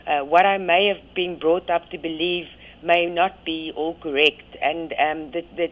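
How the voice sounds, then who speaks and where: A South African woman, a radio guest talking by phone, hesitant with many ums and restarts. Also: lowish voice. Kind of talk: phone interview. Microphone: phone line. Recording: tinny phone line.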